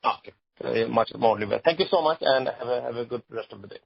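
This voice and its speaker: Creaky, husky male analyst on a call. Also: medium pitch, fast talker, no echo. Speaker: analyst asking questions. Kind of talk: polite question on a conference call. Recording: dull, dry conference call audio.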